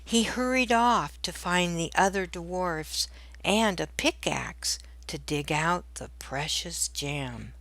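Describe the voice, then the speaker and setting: A woman reads a children's story in a slightly low voice. She is very slow and deliberate, yet expressive as a storyteller. The sound is dry and close. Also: no echo. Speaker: storybook narrator. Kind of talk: scripted children's read-aloud narration.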